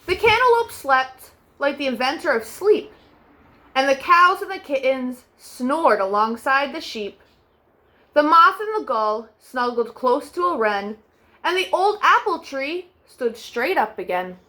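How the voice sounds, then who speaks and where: Woman, young adult, children's storytime host, gentle sing-song reading, bright clear voice. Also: high voice, very animated. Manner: gentle sing-song read-aloud, soft drawn-out refrains. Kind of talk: reading picture books aloud to children.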